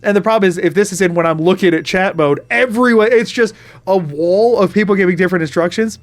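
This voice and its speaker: A man's voice: high-pitched, fast talker. A man with a Southern US accent, streaming and chatting casually in a rambling, self-interrupting way. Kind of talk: casual chat while gaming.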